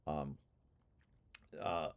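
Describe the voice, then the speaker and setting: A man's voice: rumbling low, gravelly, clear, resonant tone. A man giving an informal technical walkthrough, explaining as he reads and often stopping to correct himself. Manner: halting, self-correcting, explanatory. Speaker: presenter reading through own technical paper.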